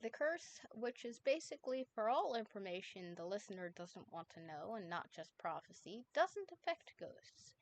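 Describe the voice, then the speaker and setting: A woman's voice: mid-range voice, very animated, moderate pace, hardly any pauses, a little hiss or hum. A woman voicing a casual, evasive teenage boy in a fan fiction reading, with a slightly defensive manner. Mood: explaining.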